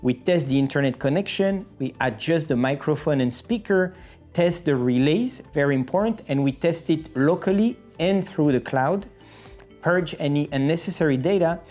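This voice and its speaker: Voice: mid-range voice, darkish tone. A company presenter explaining a product step by step in a video explainer style. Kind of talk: scripted-sounding product explainer.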